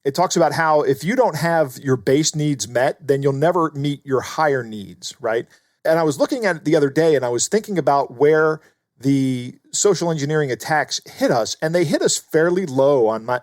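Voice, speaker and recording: A man's voice: fairly high pitch, expressive, moderate pace, no room sound, clean studio sound. A man co-hosting a podcast, giving earnest, explanatory commentary in a conversational way. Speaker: podcast co-host.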